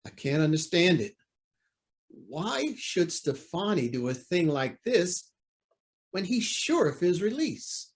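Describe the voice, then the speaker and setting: Radio drama judge, acted by a man: proud, indignant, formal, fairly high voice with lively swings. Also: takes it slowly, exaggerated voice. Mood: indignant, agitated. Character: proud, indignant male judge under pressure. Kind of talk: old-time radio drama acting.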